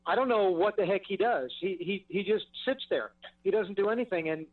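A man's voice: high-pitched, brisk. An older man calling in to a talk show, sounding frustrated and stammering through restarts. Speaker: caller. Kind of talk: phone-in call to a talk show. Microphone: phone. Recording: tinny distant phone line.

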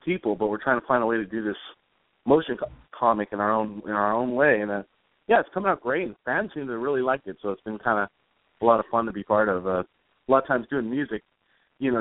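A man's voice: medium pitch, no echo. Casual man on a narrowband line, dry sound. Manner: informal, loosely rambling. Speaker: interview guest, comic author and musician. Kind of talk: casual conversational interview.

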